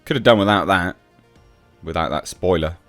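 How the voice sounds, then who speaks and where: A man's voice: low-pitched, normal speed, a bit bright, no room sound, soft background music. A man giving casual, off-the-cuff commentary on film news as a reviewer, blunt and sceptical in manner. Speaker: film reviewer, channel host.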